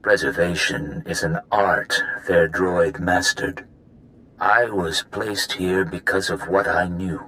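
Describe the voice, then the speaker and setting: Deep, slow, ancient weary man. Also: pushed and bright, exaggerated voice, poor audio. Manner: grave, deliberate storytelling. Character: ancient weary imprisoned male conspirator, solemn and secretive. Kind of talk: dramatic video game acting.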